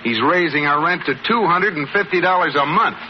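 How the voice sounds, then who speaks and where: An actor playing a sensible, exasperated husband with a dry, skeptical manner in 1940s radio comedy style. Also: male voice, fairly high pitch, a little fast, lightly acted. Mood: worried. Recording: muffled noisy old radio, studio audience.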